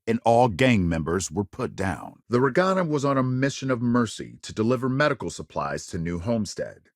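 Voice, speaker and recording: Futuristic newsreader, lively intonation, measured dramatic phrasing, polished, video game voice, very dry recording. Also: male voice, medium pitch, no room sound. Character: polished male news anchor of a spacefaring future. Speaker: news anchor. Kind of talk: scripted broadcast news delivery.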